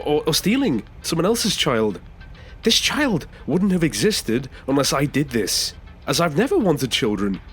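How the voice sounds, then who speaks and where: Male actor as jittery defensive male passenger, stammering, highish animated voice, sci-fi audio drama. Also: husky. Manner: stammering, hesitant, halting. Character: nervous, defensive male taxi passenger pursuing renewal. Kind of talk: science fiction audio drama acting.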